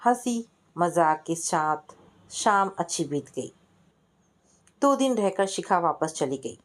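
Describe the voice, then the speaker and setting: Female storyteller for scripted family fiction, slightly low register, narration with acted dialogue.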